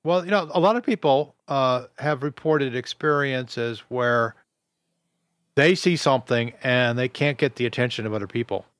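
Guy interviewer with a loud voice, rambly, lots of uhs, dry close sound. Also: mid-range voice, normal speed, no room sound. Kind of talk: casual interview.

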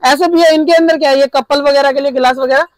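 A man hosting a market vlog, chatting excitedly and eagerly echoing prices as he tours a shop. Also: extremely high pitch, quick pace. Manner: eager, echoes the seller's prices back. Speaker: market vlogger, host. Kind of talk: excited promotional shop-tour chat. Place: crowded wholesale crockery shop indoors.

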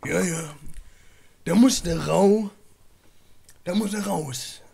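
Man putting on a very creaky old statesman. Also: sits high, takes it slowly, dark tone, exaggerated voice. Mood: ponderous. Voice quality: creaky, gravelly. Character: slow, doddering old male president in a parody. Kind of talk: improvised radio play parody.

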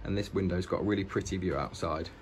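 Low-voiced British man giving a house tour. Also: fast. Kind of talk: casual walk-through narration. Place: inside an old house, walking between rooms. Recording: noisy indoor handheld home recording.

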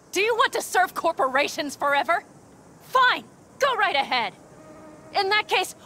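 An actress playing a fiery, strong-willed young nomad woman in a video game, with expressive, emphatic delivery. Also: extremely high pitch, a little hiss or hum, full character voice. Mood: furious. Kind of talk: cinematic video game voice acting.

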